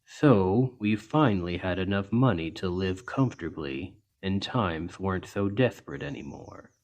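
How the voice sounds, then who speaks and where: A man voicing a large, gentle orc healer in an ASMR roleplay, speaking in an earnest, measured and reassuring way. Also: deep voice, lively intonation. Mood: earnest, reflective, then wistful, calm. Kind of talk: ASMR roleplay, soft storytelling to an injured listener.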